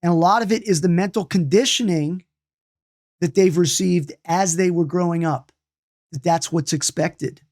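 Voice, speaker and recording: A man's voice: high-pitched, normal speed, darkish tone. A man in his late fifties giving a loose, conversational lesson on energy healing and meditation, restarting and repeating himself as he goes. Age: 50s. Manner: loose, repetitive, many restarts and asides.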